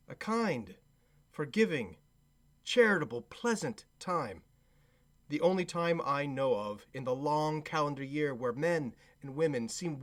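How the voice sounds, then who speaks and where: An actor playing an earnest, kindhearted nephew in a radio play, speaking eloquently and pleadingly. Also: male voice, fairly high pitch, a bit bright. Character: earnest, kindhearted nephew, good-humored gentleman.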